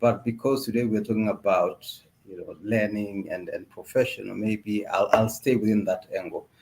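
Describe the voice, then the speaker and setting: A middle-aged man from Tanzania, a podcast guest introducing himself in a measured way, with frequent fillers. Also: lowish voice, steady tone, a little mellow, rough recording.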